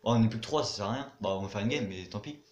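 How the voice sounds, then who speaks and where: A man's voice: fairly low pitch, very fast, reverberant. A young man giving casual commentary over his own gameplay, chatty, muttering to himself and swearing now and then. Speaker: gaming YouTuber.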